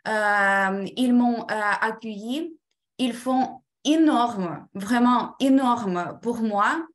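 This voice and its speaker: Slow, higher-pitched Ukrainian woman. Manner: halting, careful non-native French. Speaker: former trainee giving a testimonial.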